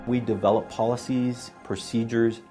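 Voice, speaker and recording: A man's voice: medium pitch, flat delivery, normal speed, dark tone, faint music behind. A man, a researcher and former police officer, explaining things in an interview.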